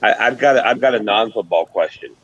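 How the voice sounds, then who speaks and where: A man's voice: lowish voice, fairly quick, fairly bright tone, breathy edge, dull audio. A man chatting casually as a panelist on a sports show. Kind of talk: casual chat.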